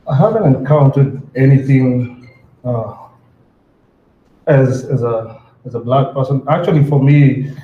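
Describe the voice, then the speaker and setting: A man's voice: mid-range voice, measured pace, long pauses. A man from Kenya with a Kenyan accent, answering earnestly as a panelist and often repeating words as he builds a sentence. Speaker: panelist entrepreneur. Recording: roomy, slightly dull hall sound.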